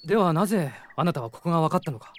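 An actor playing a calm, courteous young male prince in a Japanese radio drama, measured and dignified. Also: mid-range voice, natural voice. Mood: calm, probing. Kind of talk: Japanese anime-style radio drama acting.

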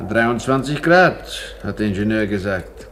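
Anxious cable-car worker, noisy recording. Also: male voice, on the low side, lively intonation, measured pace, fairly bright tone. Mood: uneasy, insistent. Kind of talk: German radio play acting.

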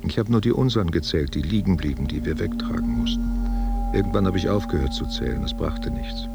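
An actor playing a guilt-haunted war veteran confessing to his lover in a halting, self-questioning monologue. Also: low-pitched, expressive, vocal fry, over loud music. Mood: brooding, weary. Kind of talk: literary audio drama monologue.

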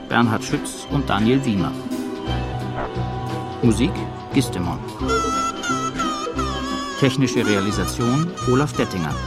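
A man with a gravelly voice reading out lists as a radio drama announcer, item by item. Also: medium pitch, close to an everyday voice. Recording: voice over loud music. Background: loud music.

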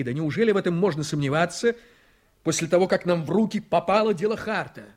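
An actor playing an ambitious, jovial, scheming district attorney in a radio drama, theatrical and exclamatory, repeating his phrases. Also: on the high side. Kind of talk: Soviet-style radio drama acting.

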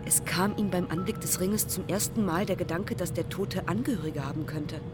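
An actress in a radio play as an earnest, insistent young woman with deliberate, precise phrasing. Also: fairly low pitch, natural voice. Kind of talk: German radio play dialogue and recitation. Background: music.

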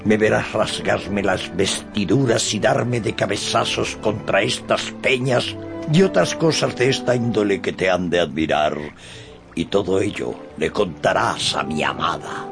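Grandiose old knight, very deep gravelly voice, pompous archaic declamation, love-struck, radio drama, music behind. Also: male voice, unhurried. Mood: commanding, fervent. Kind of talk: Spanish-language radio drama acting.

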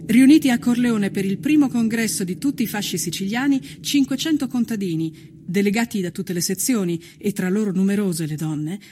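A woman narrating a scripted historical documentary with solemn, deliberate, measured phrasing. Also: fairly high pitch, warm tone, slightly rough.

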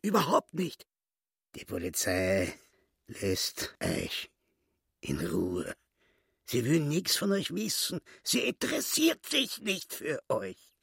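A histrionic little swindler boss in a radio play, self-pitying and wheedling. The voice creaks and rasps, drawing words out slowly in a very dry, close studio sound. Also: male voice, fairly high pitch, wildly swooping pitch, a bit bright, no echo, exaggerated voice. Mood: scheming, insistent. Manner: theatrical, wheedling, emphatic pauses.

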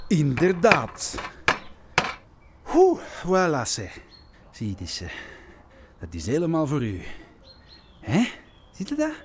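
A man's voice: on the high side, background noise, exaggerated voice. An actor with a Flemish accent playing a bickering, clumsy policeman besotted with his dog, in a naturalistic comedy radio play. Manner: repetitive, muttering, colloquial.

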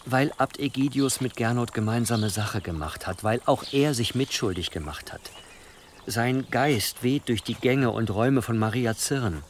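A man's voice: medium pitch, unhurried, slight background noise, natural voice. Man playing a scheming, servile medieval churchman. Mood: sly, persuasive. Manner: deferential, formal, calculating. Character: scheming, obsequious, ruthless churchman advising his bishop. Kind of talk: German historical radio drama acting.

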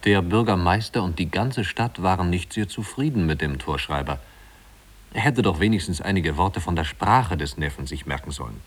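A man with a very low voice narrates an old radio play. He delivers scripted literary prose as a storyteller. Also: expressive, normal speed, breathy edge.

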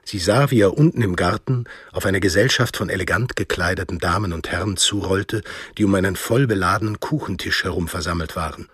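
An actor playing the narrator of a radio drama, telling a thriller in a measured, literary way. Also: male voice, low voice, a bit bright, slightly rough, no echo, clean studio sound. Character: measured, observant male storyteller of a thriller. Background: music.

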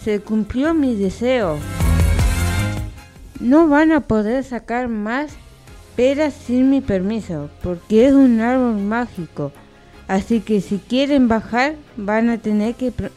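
A woman's voice: a little high, slow pace, dark tone, soft background music. A woman playing a poor, kind but wary old village woman in an amateur radio play of a folk tale. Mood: triumphant, stern.

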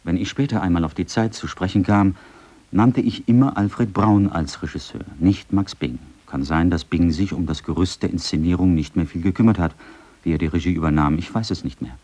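A man with a low, dark voice reads first-person testimony and quoted speeches. The tone is mellow, in the manner of a scripted radio feature. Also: brisk.

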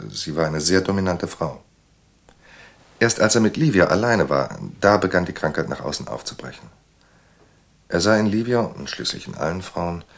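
Ein Schauspieler als gefasster Anstaltsarzt in einem Hörspiel, der bedächtig und erklärend mit rauer Stimme spricht. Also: male voice, low voice, vocal fry. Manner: measured, explanatory. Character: composed male asylum doctor explaining a patient's madness. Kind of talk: German radio drama acting.

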